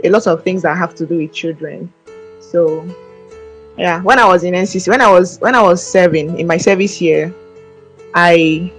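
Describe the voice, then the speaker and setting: A young Nigerian woman from Ebonyi State answering interview questions politely and softly, with hesitant restarts. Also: lowish voice, low-quality recording. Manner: polite, soft-spoken, hesitant with fillers and restarts. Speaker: guest.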